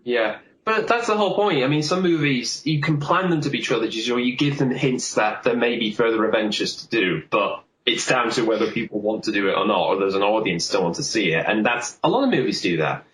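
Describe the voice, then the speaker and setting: A man's voice: mid-range voice, animated, a little fast, few pauses, fairly bright tone. A man in a casual film discussion, talking in a rambling, explanatory way. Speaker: podcast host. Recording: roomy, echoey sound.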